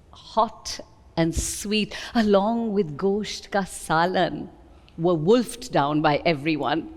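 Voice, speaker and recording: A woman with an Indian accent giving an expressive, deliberate dramatic reading of literary prose. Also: lowish voice. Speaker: reader at a book event. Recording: long hall reverb.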